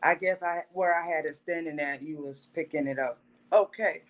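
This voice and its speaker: Thirties woman, Haitian Creole native, guest telling her life story with earnest pauses. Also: low-pitched. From Haitian family, raised in Florida. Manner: earnest, pausing, self-correcting. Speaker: podcast guest. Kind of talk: personal storytelling.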